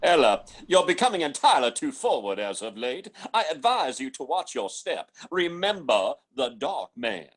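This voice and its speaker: Casting: a man to play a genteel, condescending radio-drama elder, expressive and fussily hesitant. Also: medium pitch, normal speed, hardly any pauses, a little hiss or hum, close to an everyday voice. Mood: menacing. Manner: hesitant, fussy, patronising. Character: genteel, patronising gentleman elder of a hidden colony. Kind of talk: old-time radio drama acting.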